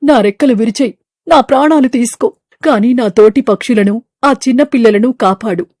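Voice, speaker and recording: A woman acts a proud bird leader in a cartoon fable. The delivery is emphatic and declamatory, in a fairly high voice with a very dry, close sound. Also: normal speed, a little mellow, dry recording, close to an everyday voice. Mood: remorseful, pleading. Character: proud business-minded leader bird, later remorseful. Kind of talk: animated moral story dialogue.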